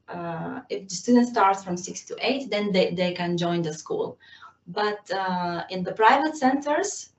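A violin teacher from Serbia talks through her answers with many pauses and fillers, laughing now and then. She is a woman with a middle-pitched voice. The sound is roomy and a bit distant, with slightly dull call audio. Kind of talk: casual interview answers.

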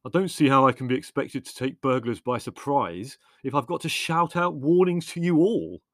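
A man's voice: mid-range voice, normal speed, fairly bright tone, no echo, clean studio sound, voice pushed up. A man voicing an aggrieved, self-satisfied young man who sounds defensive.

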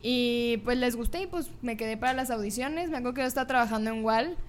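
A woman's voice: on the high side, fast talker. A woman in her twenties with a Mexican accent, chatting informally as a guest in a casual interview. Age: 20s. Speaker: podcast guest, singer and TV presenter. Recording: clear background noise and room ambience.

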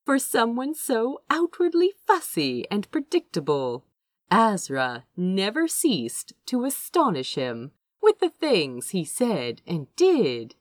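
A woman reading fiction aloud as an audiobook narrator, in an expressive storytelling style. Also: medium pitch, slow pace, dry recording, clean studio sound. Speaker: audiobook reader.

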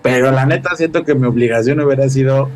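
A Mexican man chatting casually as a podcast guest, telling things in an animated, slangy way with swearing. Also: medium pitch, quick pace.

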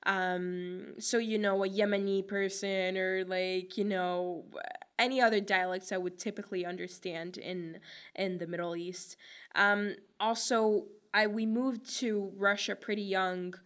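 A woman's voice: medium pitch, fairly even intonation, a little slow, a bit bright, smooth. A young woman from Egypt whose first language is Arabic, chatting as an interview guest with lots of fillers and frequent laughs. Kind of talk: casual interview answers.

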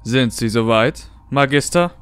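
A man playing a formal member of a mission who gives orders in a horror audio drama, speaking in a measured way. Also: medium pitch, a bit bright, smooth, natural voice. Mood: firm, formal.

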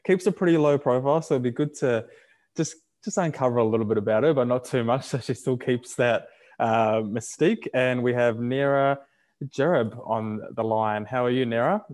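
A man's voice: medium pitch, moderate pace, a little mellow. A man hosting an interview, asking long, loose questions full of fillers. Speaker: interview host. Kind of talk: casual interview chat.

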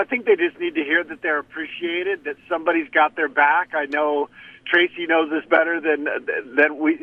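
Phone-in guest: a fifties man who is a sheriff, earnest and plain, slightly high voice, tinny line. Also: no echo. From Arizona. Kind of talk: casual chat over the phone.

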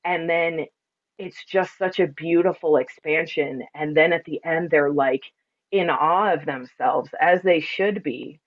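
A woman speaks in a deep, resonant voice with plenty of body. She is reflective and emphatic, explaining things earnestly as in a conversational interview. The audio is somewhat dull and lo-fi. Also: unhurried. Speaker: podcast guest, spiritual sound and ceremony practitioner.

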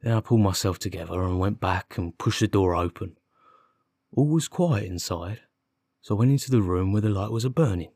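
A man's voice: fairly low pitch, brisk, darkish tone, slightly rough, no echo, clean studio sound. A man voicing a defensive, dim working-class police constable with colloquial, ungrammatical speech in an audiobook. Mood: suspicious. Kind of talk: audiobook character dialogue.

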